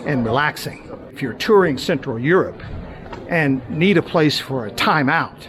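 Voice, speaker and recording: A middle-aged man hosting a travel show, narrating in a relaxed, conversational way with small hesitations as he walks around outdoors. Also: a little high, measured pace. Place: outdoors in a busy tourist town. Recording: crowd chatter behind the voice. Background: crowd of tourists.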